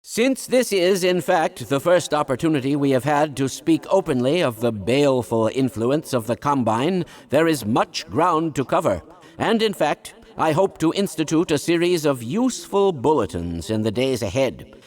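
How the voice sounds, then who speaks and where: A man's voice: fairly high pitch, lively intonation, moderate pace, natural voice. An actor playing an eccentric, fussy older male scientist in a video game, absent-minded yet kindly, speaking in wordy, formal, pedantic phrases. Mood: earnest, lecturing. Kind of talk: scripted video game dialogue.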